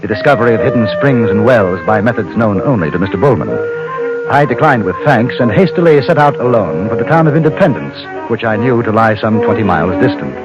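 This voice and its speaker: An actor playing a courteous English newspaperman in a radio Western, speaking in measured, formal, literate phrases. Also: fairly low pitch, expressive, close to an everyday voice. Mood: composed, wry. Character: courteous English newspaperman and gunman roaming the West. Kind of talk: 1950s radio drama acting and first-person narration. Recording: muffled old radio recording, music behind.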